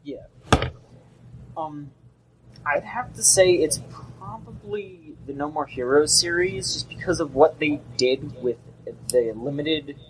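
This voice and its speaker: A man's voice: on the high side, takes it slowly, background noise. A young man co-hosting a casual gaming podcast, rambling and often restarting his sentences. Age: young adult. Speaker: podcast co-host.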